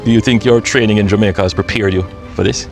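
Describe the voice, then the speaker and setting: A man on an official visit greeting officers courteously and asking brisk questions. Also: on the low side, steady tone, vocal fry, over music.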